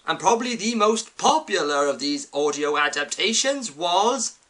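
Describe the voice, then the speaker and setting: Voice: medium pitch, animated, moderate pace, a bit bright. A reviewer giving a scripted, opinionated commentary with emphatic delivery. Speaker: host, reviewer.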